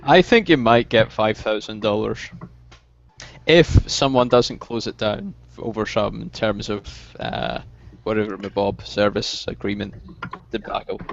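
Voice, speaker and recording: A man in a casual group voice chat who talks in a dry, deadpan, mock-serious way. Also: on the low side, measured pace, vocal fry. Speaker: chat guest. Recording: lo-fi mic.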